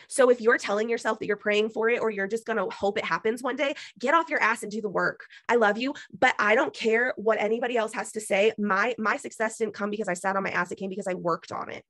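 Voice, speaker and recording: A woman's voice: medium pitch, steady tone, quick pace, hardly any pauses, fairly bright tone, no room sound. A woman gives a preachy, emphatic motivational talk to her team on a video call while losing her voice. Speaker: business team leader.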